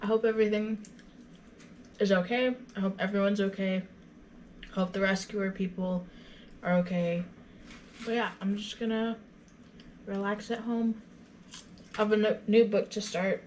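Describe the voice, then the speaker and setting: A woman's voice: mid-range voice, fairly even intonation, normal speed, long pauses, darkish tone, a little hiss or hum. A young woman chatting casually to her camera in her kitchen, rambling and retelling conversations. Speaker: vlogger. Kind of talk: casual vlog chat. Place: small home kitchen. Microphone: camera on a counter in front of her.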